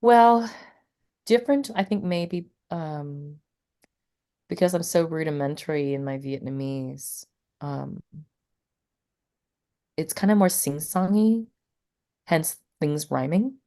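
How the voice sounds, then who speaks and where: A low-voiced woman speaks as an interview guest, a poet who also teaches. She talks casually and rambles, leaning on "like" and "you know" and restarting her sentences as she goes. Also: slow, long pauses, dark tone.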